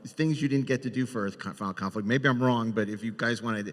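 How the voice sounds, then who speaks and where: A man's voice: mid-range voice, fast talker. A man moderating an informal live panel in a reverberant hall. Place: large indoor panel room.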